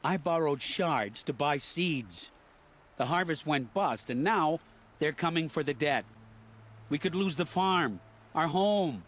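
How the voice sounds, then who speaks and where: A man's voice: on the high side, lively intonation, slow, fairly bright tone, exaggerated voice. An actor playing a desperate, stubborn farmer turned hunter in a video game, defensive and insistent in his delivery. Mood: bitter, desperate. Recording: faint ambient noise under the voice.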